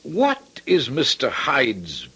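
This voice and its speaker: Worried proper gentleman, urgent and swoopy, husky edge, old-time radio play. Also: medium pitch, huge pitch swings, heavily acted. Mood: puzzled, grave. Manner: urgent, formal, dramatic pauses. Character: concerned, earnest gentleman friend, probing and insistent. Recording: old radio drama recording.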